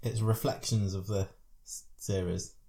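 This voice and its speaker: A man with a lowish voice co-hosts a casual podcast. He mostly answers in short replies and slips in dry little jokes. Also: steady tone, a little slow, warm tone. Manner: dry quips, short replies, asks questions. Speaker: podcast co-host. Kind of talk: casual chat.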